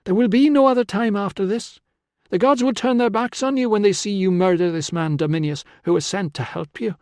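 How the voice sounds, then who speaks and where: A man's voice: very high voice, animated, moderate pace, dry recording, clean studio sound, voice pushed up. A man voicing a defiant young woman who pleads and challenges urgently in an audiobook story. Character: defiant, pleading young Celtic woman confronting her father.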